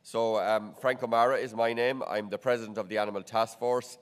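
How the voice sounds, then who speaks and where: A man's voice: fairly low pitch, steady tone, clear, resonant tone. A middle-aged Irish man with a cold that makes him nasal, chairing a conference and opening it from a podium microphone in a large wood-panelled hall. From Ireland. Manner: measured, frequent restarts and fillers. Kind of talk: formal opening remarks. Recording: long hall reverb.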